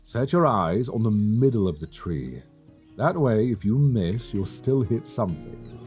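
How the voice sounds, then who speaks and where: A man voicing a good-humored, teasing elf hunter in a soft ASMR fantasy roleplay, close to a binaural microphone. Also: lowish voice, expressive, a little slow, soft background music. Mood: patient coaching. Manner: playful, gently instructing, chuckling often. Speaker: voice actor in audio roleplay.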